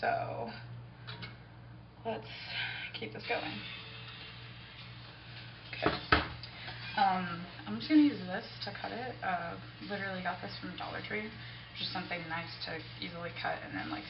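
A woman's voice: mid-range voice, expressive, moderate pace, pauses often. A young woman talks in an easygoing, rambling way to the camera while cooking in a home kitchen. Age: young adult. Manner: easygoing, rambling, self-excusing. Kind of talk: casual unplanned chat to camera while baking. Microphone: camera mic a few feet away. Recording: roomy, distant, dull camera audio with music.

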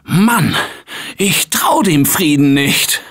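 An excitable zombie-game survivor, who calls out to his squad with a lively, swooping delivery. His tone is bright and forceful, and he keeps an unhurried pace even when shouting warnings. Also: on the high side, vocal fry, breathy edge, exaggerated voice. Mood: wary. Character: upbeat male office-worker survivor in a zombie game. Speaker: game character. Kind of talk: German-dubbed video game voice lines.

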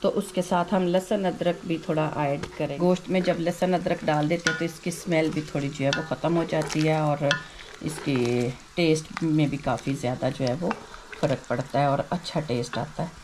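A woman with a sore, hoarse throat hosting a home cooking video, chatting her way through each step. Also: deep voice, steady tone, moderate pace, noisy background. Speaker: cooking channel host. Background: frying and sizzling in a kitchen.

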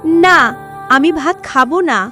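A small, wistful cartoon girl with a very high voice pleads again and again. Music plays steadily under her. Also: slow pace, pushed and bright, heavily acted. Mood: pleading. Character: poor seven-year-old girl, pleading and wistful.

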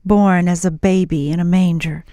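Mellow woman narrator. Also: fairly low pitch, fairly even intonation, moderate pace, no room sound, clean studio sound. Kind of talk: dramatic scripted storytelling in old radio style.

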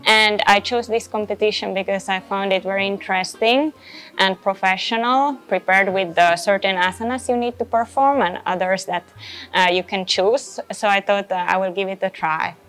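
Mid-pitched woman from Finland. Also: steady tone, moderate pace, a bit bright. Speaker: yoga competition participant. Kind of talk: casual on-camera testimonial. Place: indoor room by a window.

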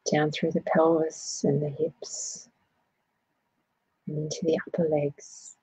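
A woman leading a guided body scan meditation, speaking in a soothing, gentle way with unhurried phrasing. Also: sits low, little pitch movement, husky, no room sound, low-quality recording.

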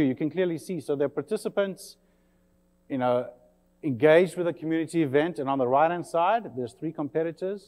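A man's voice: mid-range voice, darkish tone, big-room sound. A man in his forties with a South African accent, giving a friendly, chatty conference talk with many fillers. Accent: South African, slightly English-tinged. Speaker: conference presenter. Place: conference session room.

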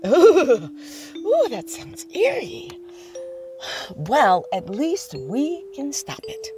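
A woman playing a teasing, good-natured newlywed bride in a radio drama, with a husky, creaky voice. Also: sits high, wildly swooping pitch, extremely slow, over music, heavily acted.

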